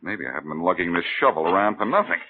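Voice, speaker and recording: An actor playing a breezy, wry, self-assured amateur detective in a 1940s radio crime drama. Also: male voice, sits low, expressive, racing. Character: confident wisecracking amateur detective hero. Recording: noisy tinny old radio recording.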